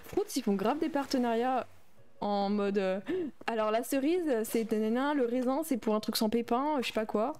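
A woman's voice: on the high side, normal speed, darkish tone, slight background noise. Chatty young woman streamer. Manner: chatty, reads and answers viewer chat aloud. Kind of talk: casual livestream chat while playing a game.

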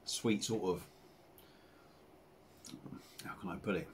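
A man in his forties with an English accent, chatting casually to camera as he reviews a beer at his home bar, rambling and relaxed with lots of fillers. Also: deep voice, slow, slight background noise. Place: home bar room with dartboard and signs.

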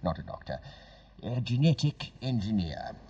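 A man's voice: on the low side, very animated, moderate pace, vocal fry, darkish tone, breathy edge, heavily acted. A man playing a modest, chatty scientist turned author in an interview scene of an audio drama. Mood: modest, amused. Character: mild former genetic engineer turned children's author, interviewed. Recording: dull, distant, lo-fi recording.

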